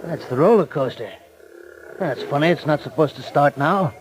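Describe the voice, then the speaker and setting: An actor playing an anxious, excitable circus boss, flustered and exclaiming, in a 1940s radio drama with a noisy old recording. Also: male voice, fairly high pitch, normal speed, natural voice. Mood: worried.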